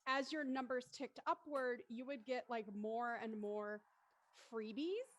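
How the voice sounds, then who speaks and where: A woman with a fairly high voice moderates a relaxed post-show chat. She explains things in casual language and puts questions to the others. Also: unhurried. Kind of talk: casual explanatory panel talk.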